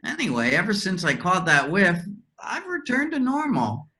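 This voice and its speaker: A droll, talkative fellow in a stage play reading acted by a woman. The voice sits low and moves with lively swings as he spins out his recollections. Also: normal speed, slight background noise. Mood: cheerful. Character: talkative man in a box, recovering from amnesia. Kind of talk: virtual readers theater play reading.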